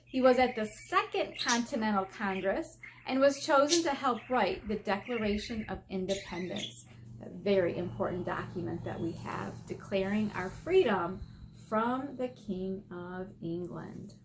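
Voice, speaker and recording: A woman's voice: medium pitch, unhurried, noisy background. An American woman, an elementary school teacher, reading aloud to children and adding simple explanations as she goes.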